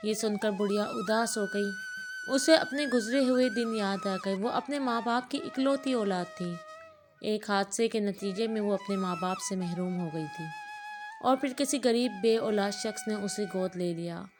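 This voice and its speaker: A woman storyteller narrates a scripted moral tale. Her voice sits at an ordinary middle pitch for a woman, and music plays clearly behind her. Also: a little slow. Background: background music.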